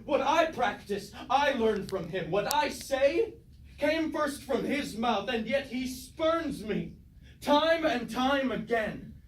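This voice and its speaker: Bitter high-voiced warrior villain, played by a man. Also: animated, slow, exaggerated voice. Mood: bitter, wounded. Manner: declamatory, archaic theatrical delivery. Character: bitter, war-hungry robot warrior villain, scornful of peace. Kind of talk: staged reading of mock-Greek tragedy. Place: indoor staged reading, mic at a distance. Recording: echoey room, distant mic, faint noise.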